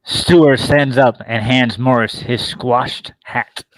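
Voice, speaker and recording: A man serves as narrator for a script reading. He delivers stage directions and scene headings in a medium-pitched voice. The sound is dry, with very little room. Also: fairly even intonation, takes it slowly, no echo, low-quality recording.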